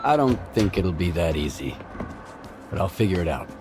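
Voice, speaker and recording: Gruff male mercenary, low voice, terse and stoic, game cutscene with loud music behind. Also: big pitch swings, moderate pace. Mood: grim, terse. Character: gruff, unflappable male mercenary, war veteran. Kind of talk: video game cutscene acting.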